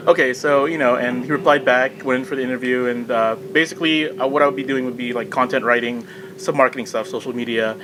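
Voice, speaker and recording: A man's voice: medium pitch, fairly even intonation, quick pace, a crowd in the background, poor audio. A man chatting casually as a podcast guest, telling self-deprecating, joking anecdotes. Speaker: podcast guest, video game company public relations specialist.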